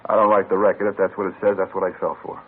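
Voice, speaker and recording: A cagey, defiant ex-con in an old radio crime drama, spitting out slangy tough-guy lines fast in a low, husky voice. The sound is thin and tinny, like an old broadcast over a phone line. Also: little pitch movement. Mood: sullen. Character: sullen male ex-con suspect, cagey and defiant.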